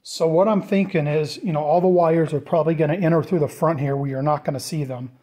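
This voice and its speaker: A man giving a casual, off-the-cuff walkthrough of a DIY project, informal and thinking out loud as he goes. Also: fairly high pitch, fairly even intonation, fast talker. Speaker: DIY vlogger presenting a build.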